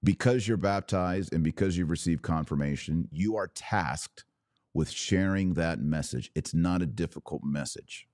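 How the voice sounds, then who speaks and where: Deep-voiced man preaching emphatically. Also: darkish tone, dry recording, studio quality. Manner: emphatic, declarative, deliberate pauses and repetition. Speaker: religious podcast host. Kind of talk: preaching monologue.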